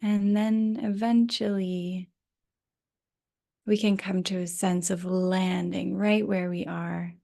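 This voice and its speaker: A woman guiding a restful yoga nidra meditation in a gentle, unhurried manner with long pauses, her voice drifting into breathy near-whispers. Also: on the low side, little pitch movement. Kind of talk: guided rest meditation.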